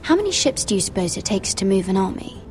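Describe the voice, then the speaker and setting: A woman's voice: mid-range voice, big pitch swings, fast, darkish tone, faint music behind, close to an everyday voice. An actress playing an earnest, uneasy young noblewoman at court in a dramatic game, hesitant in manner. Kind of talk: dramatic video game voice acting.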